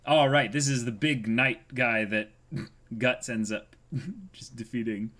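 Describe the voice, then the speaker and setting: A man co-hosting a manga read-along, reading aloud and reacting casually with goofy, excitable exclamations. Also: medium pitch, slow.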